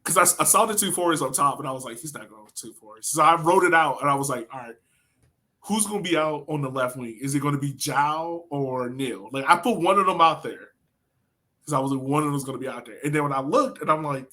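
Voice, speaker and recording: A man's voice: fairly high pitch, fast talker, sharp tone. An American man co-hosting a sports podcast, chatting casually and animatedly in slangy phrasing as he breaks down soccer.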